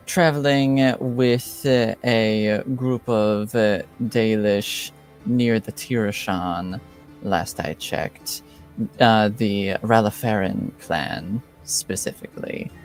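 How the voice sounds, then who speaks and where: A man's voice: fairly low pitch, slow, clean tone, soft background music. A man voicing a reserved, formal cloaked female informant in a tabletop game, speaking stiffly without contractions. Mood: measured. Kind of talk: in-character roleplay.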